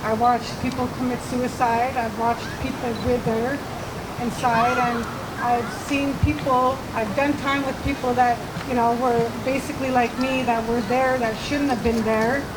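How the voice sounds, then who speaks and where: A woman's voice: on the high side, little pitch movement, measured pace. A woman in her forties from Manitoba, giving a hesitant, nervous personal speech with many fillers to a rally crowd. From Manitoba, Canada. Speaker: rally speaker. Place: outdoor rally. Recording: noisy outdoor recording with traffic.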